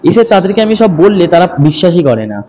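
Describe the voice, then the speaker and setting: High-voiced man telling a horror story. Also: big pitch swings, brisk, warm tone, poor audio. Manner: earnest, with small self-corrections. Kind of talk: first-person horror storytelling.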